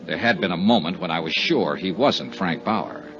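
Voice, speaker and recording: An actor playing a cool, persistent, polite insurance investigator in a 1950s radio detective drama. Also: male voice, deep voice, expressive, normal speed, noisy background. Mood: matter-of-fact narration. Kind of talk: 1950s radio detective acting and first-person narration. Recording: dull 1950s radio recording.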